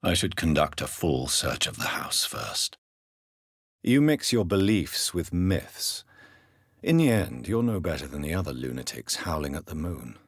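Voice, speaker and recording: A man with a British accent playing a grave, formal gentleman vampire doctor in a dramatic video game, husky-voiced and precise in his diction. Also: a bass voice, sing-song, a little slow, no room sound, clean studio sound, exaggerated voice. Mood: grave, firm.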